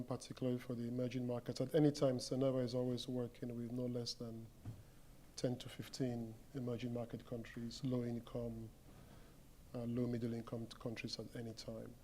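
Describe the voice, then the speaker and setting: A man from Cameroon speaks as a conference panelist in a mellow, even voice with little pitch movement. He leans on key points with emphasis, and faint room ambience hums behind him. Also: a little hiss or hum. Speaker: panelist, consultancy managing partner. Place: conference hall.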